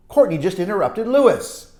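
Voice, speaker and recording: A woman reading a children's picture book aloud as a storytime teacher, expressive and dramatic, with asides to young listeners. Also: mid-range voice, roomy sound.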